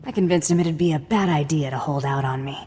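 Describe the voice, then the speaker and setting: A woman's voice: deep voice, quick pace, warm tone, slight background noise, close to an everyday voice. An actress playing a tough, sardonic female bounty hunter in a video game, blunt and threatening. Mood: wry. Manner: wry, blunt. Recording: music under the voice.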